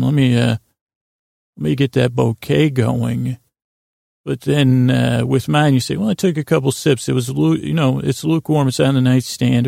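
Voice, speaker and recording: A man speaks in a deeply gravelly, fry-laden voice that barely moves in pitch. He rambles like a bedtime podcast host, halting and wandering between half-finished thoughts. The recording is dry and close, with no room to it. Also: dark tone, no echo. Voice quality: gravelly, creaky. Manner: meandering, halting, trailing off mid-thought.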